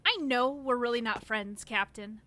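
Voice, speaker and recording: A woman's voice: a little high, normal speed, sharp tone. A woman playing a resentful, defensive young guard in a fantasy audio drama. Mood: bitter, hurt.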